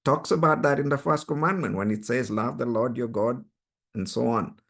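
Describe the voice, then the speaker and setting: A man's voice: mid-range voice, moderate pace, vocal fry, a bit bright. A man whose first language is Swahili leads an online Bible study over a video call, explaining earnestly and emphatically. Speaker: study group leader.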